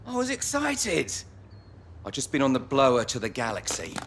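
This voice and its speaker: An actor playing a brisk, chummy English football agent with a matey, persuasive manner in a video game. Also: male voice, mid-range voice, wildly swooping pitch, a bit bright, slight background noise. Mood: excited, persuasive.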